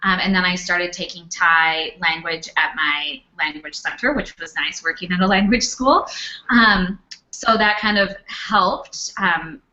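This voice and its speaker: A woman's voice: lowish voice, a little slow, bright, forceful tone. A young woman speaking casually on a Q&A panel, chatty with lots of fillers. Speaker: panelist, former English teacher. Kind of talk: Q&A panel talk. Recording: dull lo-fi recording.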